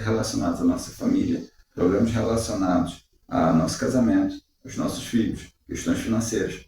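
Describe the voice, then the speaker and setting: Mellow man in an echoey room. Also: on the low side. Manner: measured, uses rhetorical questions. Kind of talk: reflective devotional talk. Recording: very echoey room, distant mic.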